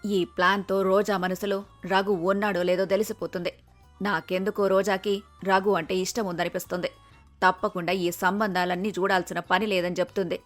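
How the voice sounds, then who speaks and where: A woman voicing a fond, scheming older mother in an animated moral story. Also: medium pitch, normal speed, faint music behind, natural voice. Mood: scheming, puzzled.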